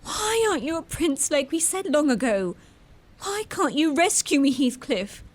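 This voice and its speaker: Headstrong young woman for audio drama, very high and animated, played by an actress. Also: moderate pace. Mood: urging, scornful. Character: impetuous, demanding, dreamy eighteen-year-old young woman.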